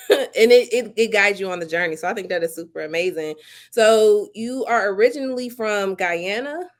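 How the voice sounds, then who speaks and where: A woman's voice: medium pitch, moderate pace. A middle-aged woman hosting an interview, asking questions and affirming her guest's answers. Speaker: host. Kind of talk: casual interview.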